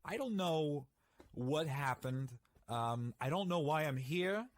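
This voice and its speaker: A man voicing a confused, hesitant fellow who rambles haltingly in a comedy bit. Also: on the high side, expressive, pitched above the performer's own voice. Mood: bewildered.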